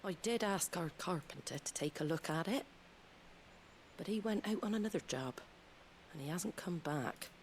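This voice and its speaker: An actress playing a wary, plain-spoken innkeeper in a video game. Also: female voice, lowish voice, animated, moderate pace, pauses often, slight background noise, natural voice. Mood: helpful. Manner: guarded, plain-spoken, folksy. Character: wary, blunt woman innkeeper. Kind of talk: game dialogue.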